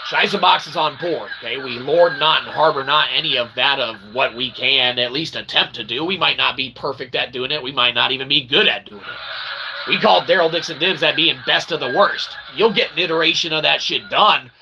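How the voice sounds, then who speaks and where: A man with a creaky, gravelly voice delivers rambling opinion commentary as a channel host. He is irreverent and slangy, stacking wordy, repetitive phrases and tagging his points with little check-in questions. Clear noise sits behind his voice. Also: medium pitch, fairly quick. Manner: punctuates points with "okay?" and "all right?".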